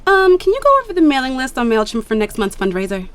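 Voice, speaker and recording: A brisk young sports agent. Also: female voice, high-pitched, big pitch swings. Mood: businesslike. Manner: brisk, businesslike, dryly teasing. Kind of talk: audio drama dialogue.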